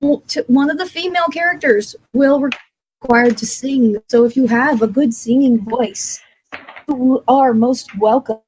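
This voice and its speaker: A woman's voice: high-pitched, normal speed, a little mellow, slight background noise, rough recording. A woman co-hosting a casual panel talk and making announcements to the listeners. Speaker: podcast co-host making announcements.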